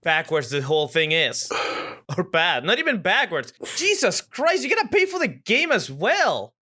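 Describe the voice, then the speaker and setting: A podcast co-host man with a bright, sharp tone and a wildly lively pitch that leaps around. He mostly throws in short, incredulous interjections and disbelieving reactions. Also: moderate pace. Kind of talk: casual podcast chat.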